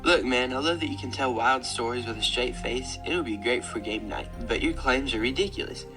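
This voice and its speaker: A man's voice: medium pitch, fairly quick, music in the background, natural voice. A man playing a skeptical, weary cellmate in a comic audio drama, talking in a sarcastic, dismissive way. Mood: dismissive disbelief.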